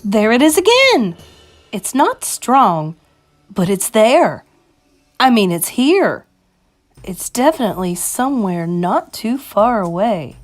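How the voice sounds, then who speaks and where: A woman voicing a tall, excitable witch with a shrill shriek in a children's storybook. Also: slow pace, soft background music. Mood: disgusted, excited.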